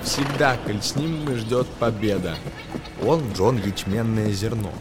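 A man's voice: on the low side, unhurried, music in the background. A man, an actor, narrating a tale in dramatic verse for a radio play, with a theatrical storytelling manner.

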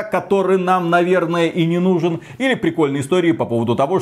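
A man's voice: on the high side, darkish tone. A man hosts a casual commentary show. He talks bluntly and colloquially, with an exasperated, sarcastic edge. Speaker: gaming news podcast host.

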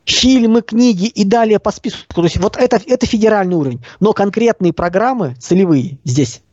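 A man with a high but soft-edged voice delivers political commentary. He explains things didactically and emphatically, breaking points into clipped phrases. The sound is close and dry, slightly dulled. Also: animated, a little fast, no echo, muffled recording. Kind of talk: opinionated talk, explaining policy.

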